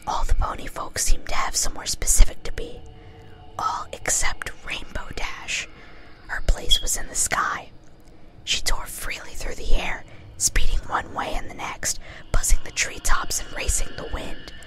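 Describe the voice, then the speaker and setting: A woman's voice: a little slow, pushed and bright. A woman reading a story aloud in an ASMR style. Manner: casually swears at own slips. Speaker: reader.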